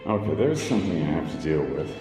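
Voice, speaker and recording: A man with a very low voice muses and jokes to himself at a slow pace on a handheld camera. His voice echoes around a bare room under renovation, and music plays clearly in the background. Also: very animated. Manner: wry, musing, talks to himself. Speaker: vlogger. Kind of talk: casual self-talk while walking around. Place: empty indoor room under renovation, at night.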